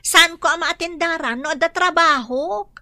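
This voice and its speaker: A woman's voice: very high voice, normal speed, sharp tone, no echo, exaggerated voice. A woman acting a flippant young daughter who talks back in an Ilocano radio drama. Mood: defiant.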